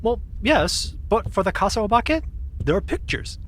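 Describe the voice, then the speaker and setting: A woman's voice: medium pitch, very animated, moderate pace, a little hiss or hum, natural voice. A woman playing an easygoing, slightly sheepish young woman in an audio drama, explaining spirit lore in a matter-of-fact, teasing way. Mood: explanatory.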